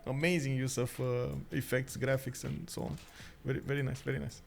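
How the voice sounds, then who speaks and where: A man from Romania with a Romanian accent, hosting a stream and commentating a strategy game in chatty, halting talk that breaks into frequent laughs. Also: mid-range voice, steady tone, creaky, a little hiss or hum. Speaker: esports caster and stream host.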